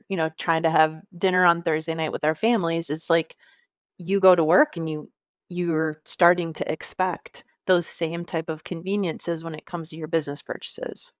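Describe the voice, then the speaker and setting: Fifty-something woman, low and dry. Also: smooth, no room sound. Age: 50s. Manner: friendly, laughs easily, thinks aloud with fillers. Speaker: podcast guest, marketing agency owner. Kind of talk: conversational interview.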